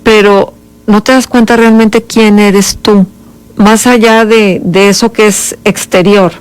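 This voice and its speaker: A woman with a Mexican accent speaks slowly in a clean, smooth voice of medium pitch. She interviews in a thoughtful, appreciative, conversational style. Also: steady tone, no room sound. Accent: Mexican Spanish.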